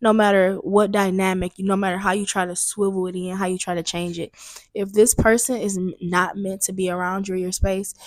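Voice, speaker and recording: A woman's voice: medium pitch, dry recording, clean studio sound. A young adult woman hosting a solo podcast, talking candidly and chattily, a bit stuffy, repeating herself for emphasis. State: slightly stuffy. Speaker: podcast host. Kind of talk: solo casual podcast talk. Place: booked studio room.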